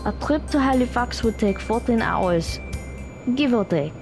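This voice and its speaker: Casting: actress as practical young woman, blunt and matter-of-fact, slow pace, audio drama with music underneath. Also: a little high, expressive.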